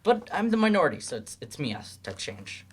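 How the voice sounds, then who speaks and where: Guarded male adult student, acted by a man: earnest, halting, self-doubting, lively swings in pitch, stage-play delivery. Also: breathy edge. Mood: resigned, frustrated.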